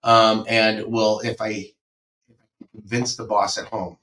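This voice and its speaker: Co-host, man, lowish voice, upbeat, rambling, distant mic, faint background noise. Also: little pitch movement, slow pace, fairly bright tone. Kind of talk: casual show chat.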